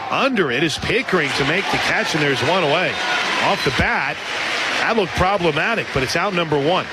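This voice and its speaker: A man doing live radio play-by-play commentary of a softball game as the announcer. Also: fairly high pitch, lively intonation, fairly quick. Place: outdoor softball stadium broadcast position. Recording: loud stadium crowd noise behind. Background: loud crowd and ballpark ambience.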